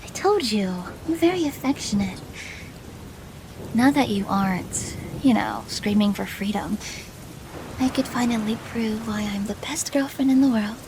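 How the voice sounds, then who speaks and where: Over a faint rainy backdrop comes a doting yet menacing yandere girlfriend, played by a woman, in an ASMR roleplay. Her voice is fairly high, soft and breathy, slipping into whispers. She speaks very slowly, teasing and possessive, as if close to a lover. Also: animated, background noise. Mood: affectionate, smug. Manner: slow, intimate, teasing.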